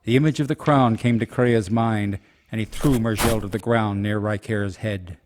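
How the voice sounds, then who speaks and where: A man's voice: lowish voice, steady tone, normal speed, background noise. A man giving scripted, dramatic narration as the storyteller of a fantasy radio play.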